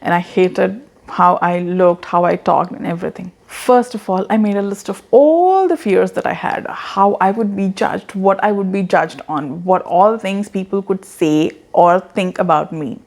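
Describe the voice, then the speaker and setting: An earnest woman in her thirties, with an accent that blends Indian and American English. She speaks to the camera in an encouraging way, laughs at herself, and repeats words as she goes. Her voice sits at a middle pitch. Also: unhurried, vocal fry, a little mellow. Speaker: online business coach. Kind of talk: casual talking-head advice.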